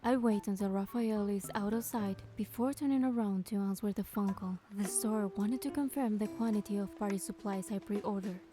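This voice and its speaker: A woman playing a playful young heroine in a game, narrating softly in the first person. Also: mid-range voice, normal speed, few pauses, lightly acted. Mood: calm narrating. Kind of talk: scripted first-person narration with character dialogue. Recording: studio voice over clear background music. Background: music.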